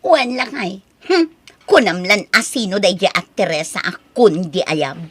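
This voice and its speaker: A woman's voice: mid-range voice, very animated, measured pace, husky. A woman acting an envious, gossiping married neighbour in an Ilocano audio drama, brash and needling. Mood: scornful, scheming.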